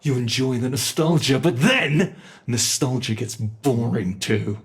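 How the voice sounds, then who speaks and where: A British man voicing an ancient, bored male monster in a horror ASMR roleplay, speaking slowly and intimately with soft whispers. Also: medium pitch, expressive. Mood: brooding. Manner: slow, intimate, menacing delivery with soft whispers.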